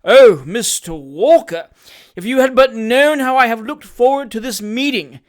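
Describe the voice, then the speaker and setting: A hypocritical young manservant who simpers and weeps on cue, his voice pitched up into a thin, wavering whine. A reader puts him on in a storybook reading. His delivery swoops and wobbles with theatrical feeling. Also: lively intonation, measured pace. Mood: gushing. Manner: whining, simpering, gushing. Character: hypocritical sly young manservant, tearful and fawning.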